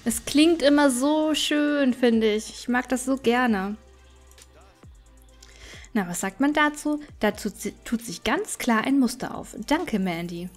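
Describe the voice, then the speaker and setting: A woman's voice: high-pitched, slow pace. A woman gaming YouTuber giving casual, chatty let's play commentary, thinking aloud as she plays. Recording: music behind the voice.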